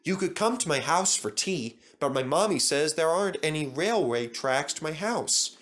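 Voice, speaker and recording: A man's voice: on the high side, lively intonation, normal speed, a bit bright. A man voicing a polite five-year-old girl's letter in a simple storybook reading. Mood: hopeful.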